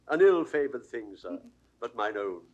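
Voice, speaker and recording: Wry court clown, British, wildly varied intonation, pompously theatrical, mock-learned, period audio drama. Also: male voice, medium pitch, big pitch swings, measured pace, a little mellow. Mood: jaunty, droll. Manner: mock-formal, theatrical enumerating delivery. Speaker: actor. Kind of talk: 1960s Shakespeare audio drama performance.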